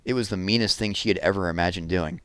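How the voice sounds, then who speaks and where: Lowish-voiced man narrating a children's book. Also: quick pace, fairly bright tone, clear, resonant tone, dry recording. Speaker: read-aloud narrator.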